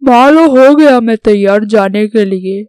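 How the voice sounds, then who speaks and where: A woman's voice: on the high side, a little slow, a little mellow, smooth, dry recording, close to an everyday voice, rough recording. A woman voicing an earnest, patriotic young man in a cartoon story, speaking in declarations. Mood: earnest, resolute. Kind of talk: scripted Hindi cartoon story dialogue.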